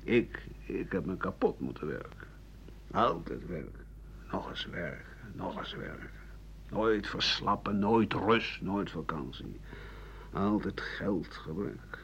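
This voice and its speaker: A man playing a weary, embittered writer of 67 in a radio play, grumbling in halting phrases that trail into breathy murmurs. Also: sits low. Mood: bitter, weary. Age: older. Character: embittered, weary 67-year-old French writer and doctor, pessimistic. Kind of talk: radio play monologue as interview answers. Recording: dull, hissy 1980 radio recording.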